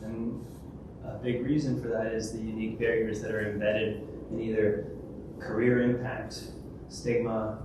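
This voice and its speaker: A man's voice: lowish voice, little pitch movement, measured pace, few pauses. A man giving a conference talk and taking questions, hesitant, with many fillers and restarts. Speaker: conference speaker. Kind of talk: presentation and Q&A. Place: large event hall. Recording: echoey hall from a distance, noisy.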